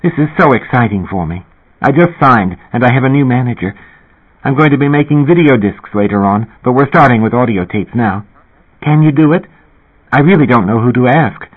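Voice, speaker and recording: A man reading an audiobook and voicing a shy, breathless young woman singer who talks in a rushing, excited way. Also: medium pitch, breathy edge, voice pushed up. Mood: eager, breathless. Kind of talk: audiobook character voice. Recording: tinny phone-like audio.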